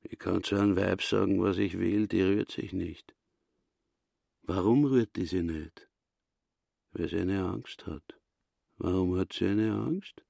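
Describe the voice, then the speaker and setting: A man's voice: unhurried, long pauses, mellow, no room sound, clean studio sound, full character voice. An actor playing a brutal, bitter caretaker in his fifties with an Austrian accent, blunt and hectoring, in a radio play. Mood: gruff, boastful. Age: 50s. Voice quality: gravelly, low. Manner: blunt, hectoring, asks and answers himself. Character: brutal, misogynistic caretaker aged fifty-nine, bitter and boastful.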